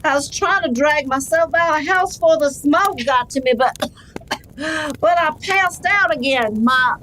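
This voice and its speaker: A woman as high-voiced wounded frontier rancher. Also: pushed and bright, slight background noise, rough recording. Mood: pained. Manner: strained, drawn-out, folksy. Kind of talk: western radio drama acting.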